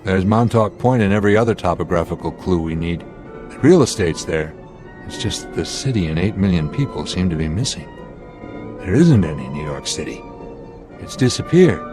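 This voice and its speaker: A low-pitched male airline captain in a radio drama, measured and authoritative under pressure. There is a dry, wry edge to his command, and music runs clearly behind him. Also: expressive. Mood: baffled.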